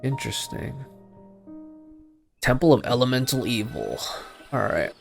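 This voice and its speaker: A man's voice: medium pitch, big pitch swings, unhurried, creaky. A man doing casual, slangy, joking reaction commentary as he streams a game. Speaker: gaming streamer. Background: game music.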